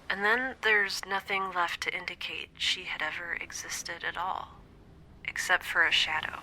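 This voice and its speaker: Lonely haunted young space scientist, audio drama log, slow and hesitant, very crisp bright voice drifting into whispers. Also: female voice, sits low, slight background noise, heavily acted, low-quality recording. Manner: hesitant, confiding, drifting into breathy near-whispers. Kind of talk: scripted audio drama monologue, intimate dream-log recording.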